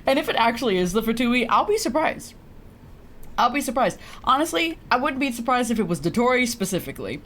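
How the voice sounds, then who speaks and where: A woman's voice: a little high, sing-song, fairly quick, a bit bright. A woman doing casual, chatty live gameplay commentary as a streamer, giggly and free with swearing. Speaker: game streamer.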